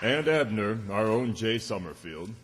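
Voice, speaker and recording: Male stage announcer, scripted and genial, vintage radio style, reverberant hall with clear background noise. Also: fairly low pitch, expressive, a little slow, a loud crowd. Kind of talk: old-time radio announcing to a live audience.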